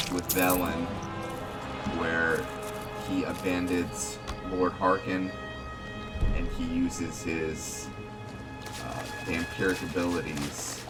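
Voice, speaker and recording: A man giving casual, rambling commentary on a video game playthrough, talking to viewers and to himself. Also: low voice. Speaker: gaming video host. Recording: voice distant from mic under loud music. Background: loud game music.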